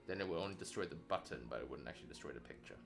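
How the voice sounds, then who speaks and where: A man learning software in a casual walkthrough, tentatively thinking aloud and checking his understanding. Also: extremely low pitch, expressive, very fast, gravelly, faint music behind.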